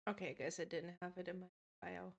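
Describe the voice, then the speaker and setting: A woman's voice: lowish voice, flat delivery, fast talker, gravelly. A woman in her twenties chatting casually with her stream viewers, laughing often and reading out their messages. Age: 20s. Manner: chatty, giggly, reads chat aloud.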